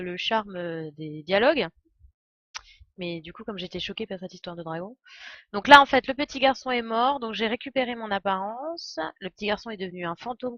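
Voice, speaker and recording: A young woman streams a game with casual, slangy commentary and a sardonic edge. Her medium-pitched voice is close and dry, with slightly dull sound. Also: a bit bright, no echo.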